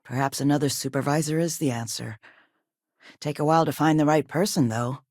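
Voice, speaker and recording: A woman voicing a composed, businesslike company owner in a video game, with a polite, measured and formal manner. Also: sits low, a little mellow, no echo, clean studio sound. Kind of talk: video game dialogue acting.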